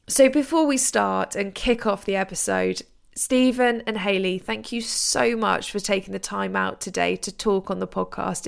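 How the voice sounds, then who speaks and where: Gravelly-voiced woman podcast host. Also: medium pitch. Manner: polite, formal, enthusiastic questions. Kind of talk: podcast interview.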